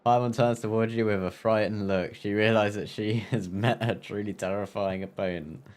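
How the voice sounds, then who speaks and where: A man's voice: on the low side, steady tone, moderate pace, a little mellow. A man live-streaming a game, reading dialogue aloud and reacting with playful banter.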